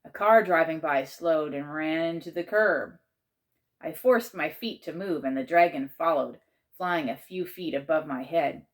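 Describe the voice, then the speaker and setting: A woman reading a children's story aloud as an expressive, dramatizing narrator. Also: low voice, measured pace. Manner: animated, dramatizes a child's first-person tale. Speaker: author and teacher reading aloud.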